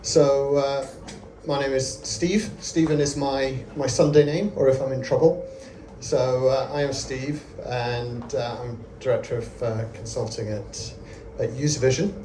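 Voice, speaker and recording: Man, 40s, conference speaker; conversational, filler-heavy delivery, mid pitch, echoey venue. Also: steady tone, a little slow, mellow. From Scotland. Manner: friendly, self-deprecating, conversational with many fillers. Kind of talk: lightning talk at a meetup. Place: event venue with audience. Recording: echoey room with ambient noise.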